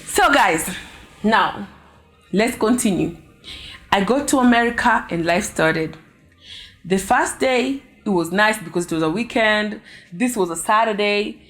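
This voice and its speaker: Animated Kenyan woman telling a story. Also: mid-range voice, a little slow, pauses often, soft background music. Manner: animated, exclamatory, chatty. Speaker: vlogger. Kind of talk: casual storytelling to viewers.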